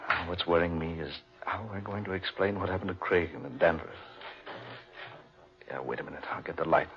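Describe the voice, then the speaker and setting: A man's voice: sits low, fairly quick, gravelly. An actor playing an earnest, urgent man in a melodramatic, exclamatory 1940s radio thriller style with formal phrasing. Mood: uneasy. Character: earnest, urgent man leading a woman out of danger. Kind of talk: 1940s radio drama acting. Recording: muffled 1940s radio recording.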